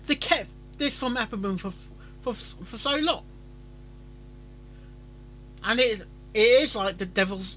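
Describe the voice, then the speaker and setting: Bright, halting man, rambling casually. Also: sits high, poor audio. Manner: halting, stammering, repeats words. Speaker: host of a fan fiction reading stream.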